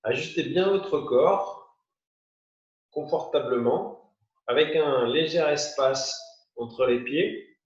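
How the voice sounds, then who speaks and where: A man teaching yoga nidra, guiding a meditation in short, unhurried phrases with pauses. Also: fairly high pitch. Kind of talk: guided meditation, spoken instructions. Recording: muffled, roomy, distant from the mic.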